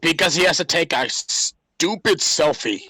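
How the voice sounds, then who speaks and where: Guy with a slightly high voice tossing wry little remarks into a podcast chat. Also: lively intonation, measured pace, bright, forceful tone, breathy edge. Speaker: podcast panelist. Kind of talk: casual chat, short interjections.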